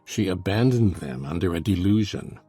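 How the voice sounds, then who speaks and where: An actor playing a scornful, cruel, brooding husband in a dramatized gothic novel, speaking with deliberate, sardonic contempt. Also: male voice, low voice, animated, dark tone, breathy edge, soft background music. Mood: cold contempt. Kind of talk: dramatized audiobook acting.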